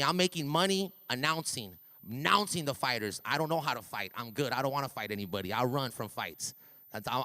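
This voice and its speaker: Middle-aged la guy, loud hype man voice, smooth and clear, big echoey school hall. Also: mid-range voice. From East Los Angeles, USA. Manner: hype man, repeats and restarts words. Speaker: ring announcer speaking at a school assembly. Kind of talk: motivational speech to students. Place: school auditorium. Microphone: handheld mic. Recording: reverberant hall.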